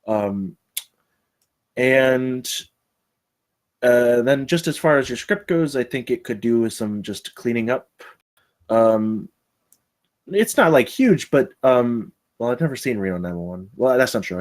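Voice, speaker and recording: A man with a medium-pitched voice gives informal critique. He talks in a chatty, rambling way and often breaks off to restart his thought. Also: a little mellow. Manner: rambling, chatty, self-interrupting. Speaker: table read participant giving script feedback. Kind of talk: casual spoken critique.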